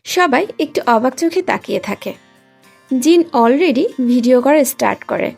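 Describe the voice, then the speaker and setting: Woman storyteller, scripted story narration, crackly fry, music behind. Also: high voice, expressive, unhurried, a little mellow.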